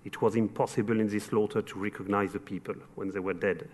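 A man from France with a French accent giving earnest, formal remarks as an event sponsor, in short measured phrases. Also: on the low side, steady tone, darkish tone. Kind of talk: formal closing remarks at a luncheon speech.

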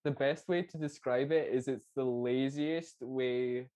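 A man with a Scottish accent hosting a casual interview, agreeable and quick to laugh. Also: medium pitch. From Canada. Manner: relaxed, agreeable, often laughing and saying "aye". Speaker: podcast host.

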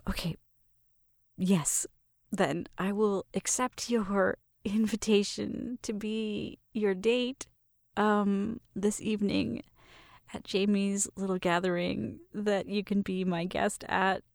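Flustered, smitten young roommate, slightly creaky woman acting. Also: medium pitch, steady tone, takes it slowly, darkish tone, no echo, clean studio sound. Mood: bashful, nervous. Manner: nervous, stammering, giggly, self-interrupting. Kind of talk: intimate roleplay audio addressed to the listener.

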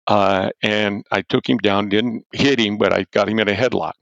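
An older man, a former football coach, telling a story loudly and animatedly as an interview guest and chuckling as he goes. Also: lowish voice, fairly even intonation, moderate pace, creaky, no echo. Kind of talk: storytelling in a podcast-style interview. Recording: dull, dry lo-fi audio.

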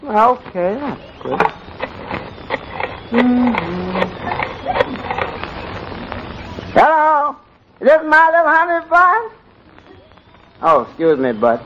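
A man's voice: very high-pitched, very animated, exaggerated voice. A man playing a sheepish, folksy, lovesick young waiter in a 1940s radio comedy. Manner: slow, sheepish, chuckling, folksy. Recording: muffled, noisy 1940s radio, loud studio audience.

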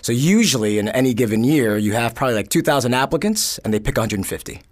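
A man guesting on a podcast, talking through his childhood in a steady, middle-of-the-road voice. He tells the story in a measured way and drops in dry, wry asides. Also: mid-range voice. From born in Korea, raised in the Bronx. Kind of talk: casual podcast conversation.

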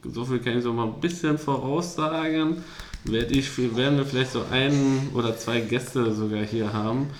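A man's voice: mid-range voice, steady tone, moderate pace, echoey room, a little hiss or hum. A man co-hosting a podcast, chatting casually and rambling with many fillers and restarts. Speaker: podcast co-host. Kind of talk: casual chat.

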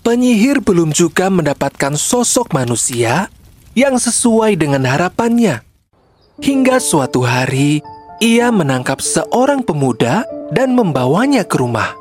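A woman's voice: lowish voice, sing-song, unhurried, darkish tone. A woman narrating a scripted children's story as a storyteller. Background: faint music.

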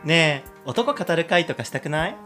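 Man acting as girlish gal, slangy, eager talker, lively swooping intonation, amateur radio drama, music behind. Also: on the high side, moderate pace, voice pushed down. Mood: chatty, eager. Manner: casual slangy gal talk. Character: chatty girlish young gal heroine, eager to talk.

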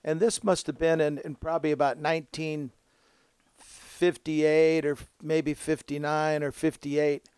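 A man's voice: a little high, level tone, measured pace, darkish tone, clean tone, no echo. A man in his seventies, a musician casually recalling his younger days in an interview. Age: 70s. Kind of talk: casual reminiscing.

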